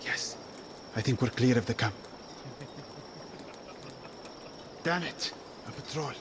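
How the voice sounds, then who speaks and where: A man plays a protective, wry shepherd guiding someone through danger in a fantasy game. He sounds wary and urgent, keeping his instructions low-key, at a middling pitch. Crickets and birds sound clearly around him. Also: moderate pace, background noise, lightly acted. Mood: tense. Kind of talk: video game voice acting.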